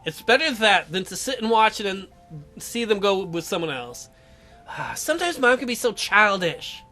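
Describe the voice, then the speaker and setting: A woman's voice: mid-range voice, sing-song, normal speed, pushed and bright, soft background music. A woman hosting a let's play, mixing casual, sarcastic asides with dramatic reading.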